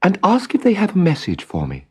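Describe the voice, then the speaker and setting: A man voicing a small, gentle female nightingale in a light, polite storybook manner. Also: high voice, wildly swooping pitch, fairly quick, slightly rough, voice pushed up, exaggerated voice. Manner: light, lilting, sweetly polite.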